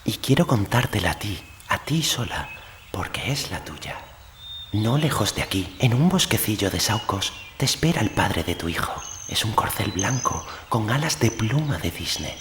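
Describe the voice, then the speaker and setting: A man voicing a kindly, earnest young boy in an audiobook, gentle and solemn. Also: fairly low pitch, big pitch swings, moderate pace, a little hiss or hum, voice pushed up.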